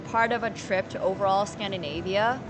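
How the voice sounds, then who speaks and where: A woman's voice: medium pitch, moderate pace, background noise. A woman from Finland live streaming casually, thinking aloud in rambling fragments as she plays and chats with viewers. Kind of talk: casual chat while playing poker, answering viewers.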